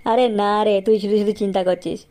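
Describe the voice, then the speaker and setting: An actor playing a brisk, bossy, street-wise village man in a comedy cartoon, chatty and know-it-all. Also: medium pitch, fairly even intonation, dark tone, close to an everyday voice. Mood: concerned, advising. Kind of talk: Bengali comedy cartoon acting.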